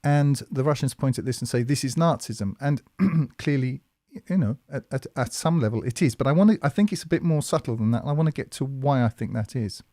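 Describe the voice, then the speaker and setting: A man hosting a commentary monologue, speaking in a measured, hesitant way with many fillers and restarts. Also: medium pitch, mellow, dry recording, studio quality. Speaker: commentary channel host.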